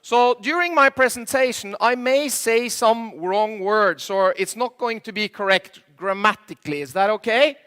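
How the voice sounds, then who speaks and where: A high-voiced middle-aged Norwegian man speaking English with a Norwegian accent as a second language. His tone is bright and keen. He works a live conference crowd from the stage, cracking jokes and throwing questions at the audience. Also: moderate pace, few pauses, clean tone. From Norway. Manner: jokey, quizzes the audience, slightly imperfect English. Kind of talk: stage presentation with audience banter.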